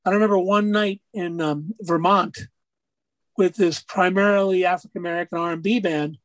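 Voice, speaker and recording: Raspy guy musician chatting, dry close mic, rambles a lot. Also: high-pitched, steady tone, normal speed, a bit bright, no room sound, poor audio. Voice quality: raspy speaking voice. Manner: rambling storyteller, many fillers. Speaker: musician guest. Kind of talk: casual interview talk.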